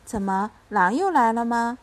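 A woman's voice: fairly high pitch, expressive, a little slow. A woman voicing a young mother in a children's storybook, tender with her child and fiercely protective, by turns coaxing and commanding. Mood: puzzled, weary.

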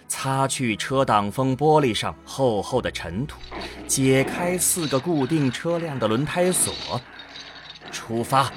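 A man narrating a scripted science fiction audio drama. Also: mid-range voice.

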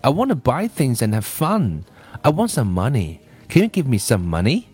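Man reading as a needy, discontented storybook boy, lively pitch. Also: moderate pace, breathy edge. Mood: demanding. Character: needy, discontented boy who grows old and tired. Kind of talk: storybook character voice.

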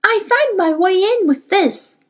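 Woman reader plays an earnest young girl, very high and pleading, with a wide-swinging voice. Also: animated, a little slow. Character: eager, desperate young girl.

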